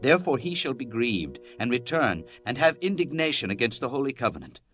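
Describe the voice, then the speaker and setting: A man's voice: mid-range voice, big pitch swings, a little fast, a bit bright, soft background music. A man narrating scripture in a solemn, declamatory style, as in a dramatized Bible reading.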